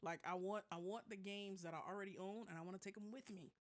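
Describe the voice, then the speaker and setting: A podcast co-host with a mid-pitched voice. The talk is casual and animated, full of informal slang, like friends chatting about gadgets and games. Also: fast, a crowd in the background. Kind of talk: casual tech and gaming chat.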